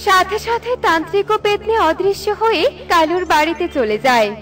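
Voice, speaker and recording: A woman's voice: very high voice, animated, normal speed, a bit bright, soft background music. A woman narrating a children's fairy tale in a scripted storytelling style.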